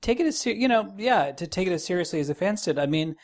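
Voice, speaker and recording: A man's voice: high-pitched, expressive, fast talker. A man talking casually as a guest in a podcast interview. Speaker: podcast guest, radio producer.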